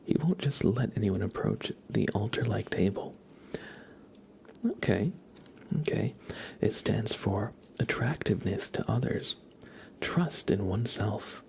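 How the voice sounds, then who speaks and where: A man hosting an ASMR livestream, giving card readings in a gentle, halting way as he thinks aloud. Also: on the low side, creaky. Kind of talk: whispered ASMR, casual tarot card reading.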